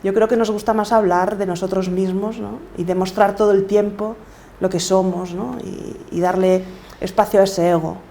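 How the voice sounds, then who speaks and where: Mellow middle-aged Galician woman. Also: mid-range voice, fairly even intonation, normal speed, slight background noise. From Galicia, Spain. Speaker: podcaster and coach, interview guest. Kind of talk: reflective interview conversation.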